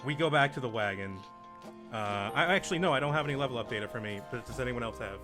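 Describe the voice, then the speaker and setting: A man acting as game master, talking casually and haltingly as he hosts and narrates a tabletop game session. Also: mid-range voice, animated. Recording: music playing behind the voice. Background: music.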